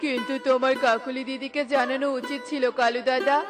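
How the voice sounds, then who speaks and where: A woman plays a helpful bird friend in a children's cartoon. The voice is high and earnest, with a caring, concerned manner. Light music sits behind it. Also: little pitch movement, fairly quick, lightly acted.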